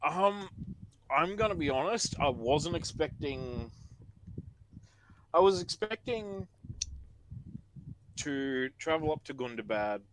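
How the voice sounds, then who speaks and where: A man's voice: on the high side, animated, slow pace, long pauses, fairly bright tone, slight background noise, rough recording. A man who plays in a tabletop game, chatting animatedly about his character with laughs and lots of fillers. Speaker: player. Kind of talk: casual post-session chat.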